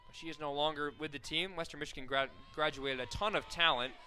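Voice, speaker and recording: A young man calling softball play-by-play on the radio, steady and full of stats, rising sharply on big plays. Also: on the high side, fast. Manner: steady, fact-filled call that rises sharply on big plays. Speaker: play-by-play announcer.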